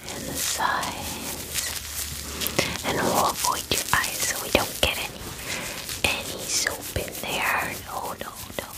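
A woman in her twenties whispering a gentle, soothing ASMR personal-attention roleplay in a breathy voice, close to the mic. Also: rough recording. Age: 20s. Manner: gentle, soothing, unhurried reassurance. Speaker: ASMR artist playing a spa groomer. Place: small room at home, indoors. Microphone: close microphone, near the camera.

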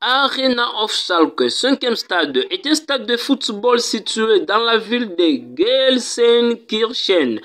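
A man narrating a voice-over as the channel's narrator, reading a numbered list slowly and carefully. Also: high voice, fairly bright tone.

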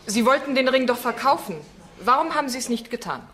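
An actress playing a formal, composed defence barrister in a radio play, questioning in a measured manner. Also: female voice, sits high, lively intonation, a bit bright. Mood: composed, probing.

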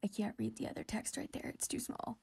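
A young woman with a high voice reads fan comics aloud and chats about them. She is gushing and giggly, and swears freely as she reacts. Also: steady tone, fairly quick, vocal fry, a little mellow, husky.

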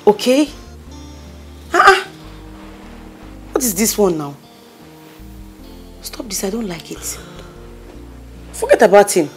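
A woman's voice: medium pitch, sing-song, slow, long pauses, faint music behind. A woman with a Nigerian accent acting a bossy, loyal young friend in a drama, speaking emphatically with Pidgin slang. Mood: exasperated, insistent. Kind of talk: naturalistic film drama acting.

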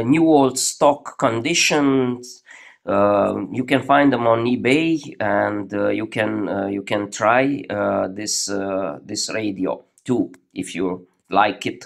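Male hobbyist presenter, mid-pitched, unhurried and halting, casual demo recorded on a phone indoors. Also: creaky. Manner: halting, searching for words. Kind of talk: casual improvised demonstration of a homemade radio. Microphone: phone held over the workbench.

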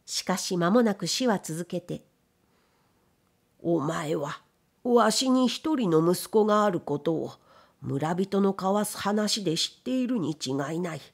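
A woman's voice: lowish voice, animated, a little slow, gravelly, exaggerated voice. A woman reading the part of a proud, bitter, grieving old blind factory owner in a storybook, with weary old-fashioned speech. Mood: grieving, wounded. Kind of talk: dramatic literary reading.

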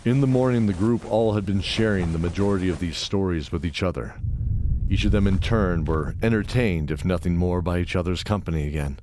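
Very deep male storyteller. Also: a little fast, a little mellow, slight background noise. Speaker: narrator. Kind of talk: scripted dramatic narration of fantasy audio drama.

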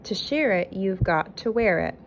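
A woman voicing a gentle, wise, enormous female whale in a storybook, speaking deliberately. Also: medium pitch, expressive, close to an everyday voice, poor audio. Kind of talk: storybook read-aloud. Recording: noticeable background noise, amateur phone recording.

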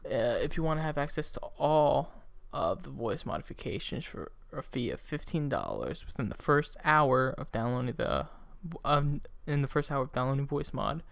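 A young man casually narrating a software tutorial as its host, close on a desktop microphone. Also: mid-range voice, lively intonation, moderate pace, vocal fry, hardly any pauses, no echo. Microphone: close USB desktop microphone.

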